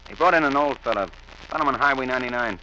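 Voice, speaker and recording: An actor playing a chatty, kindly morgue attendant in a vintage radio drama, casual and reassuring. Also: male voice, medium pitch, very fast, bright, forceful tone, close to an everyday voice. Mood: chatty, matter-of-fact. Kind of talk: 1940s radio drama acting. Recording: dull vintage radio, faint noise.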